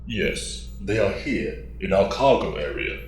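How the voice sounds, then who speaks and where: A man's voice: sits low, sing-song, a little slow, roomy sound. A man playing the grave, stoic leader of a depleted hero team in an audio drama, formal and terse. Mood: grave, restrained.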